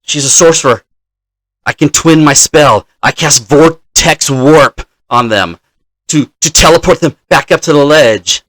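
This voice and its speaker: A man's voice: on the high side, a little slow, fairly bright tone, no room sound. A man hosting as a dungeon master, telling the story of a game session in an explanatory way and acting out players' lines.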